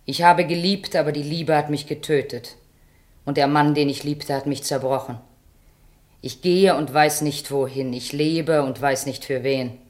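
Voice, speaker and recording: A woman's voice: low voice, steady tone, unhurried, darkish tone. An actress playing a despairing, compelling woman broken by love in a German radio play, in solemn declamation.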